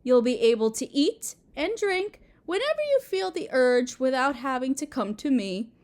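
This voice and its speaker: A woman playing a doting, possessive Mother Earth goddess in a roleplay audio drama, sweet and cooing as if to a small child, with gentle menace. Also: high voice, big pitch swings, moderate pace. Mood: delighted doting.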